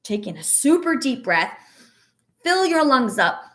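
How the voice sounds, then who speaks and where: Woman teacher for a children's read-aloud; unhurried, animated intonation, speaking straight to young listeners with clear encouragement. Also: sits high. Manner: instructive, encouraging, addressing young children directly. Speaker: teacher reading a picture book aloud. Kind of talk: children's read-aloud with guided breathing exercise.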